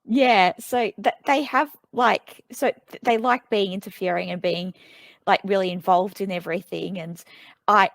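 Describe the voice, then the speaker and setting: A woman's voice: medium pitch, steady tone, normal speed, gravelly, no echo. A woman author being interviewed, speaking thoughtfully and hesitantly with frequent ums and restarts. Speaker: author guest. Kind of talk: conversational interview.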